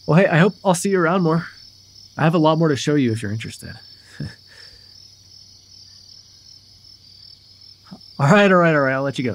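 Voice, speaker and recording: A young man voicing a casual, mischievous vampire chatting informally and haltingly in an immersive roleplay. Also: fairly high pitch. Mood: friendly, easygoing. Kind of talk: immersive ASMR roleplay voice acting.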